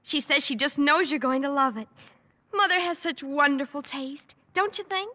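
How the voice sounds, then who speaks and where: A chirpy high teen girl. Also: moderate pace, pushed and bright. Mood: sweet. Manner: coaxing, sweet. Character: sweet chirpy teenage girl, principal's daughter. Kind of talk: 1950s radio sitcom acting.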